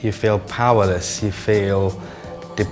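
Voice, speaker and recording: A man giving interview testimony, deliberate, repeating himself for emphasis. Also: lowish voice, fairly even intonation, soft background music. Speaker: interviewee, former detained patient.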